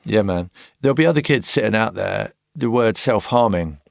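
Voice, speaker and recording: A male podcast host with a very deep voice and a gravelly edge asks questions in a relaxed, probing way, sometimes circling back to rephrase. The sound is close and dry. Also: normal speed, no room sound. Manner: probing and conversational, sometimes restarting his questions. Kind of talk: interviewing a guest.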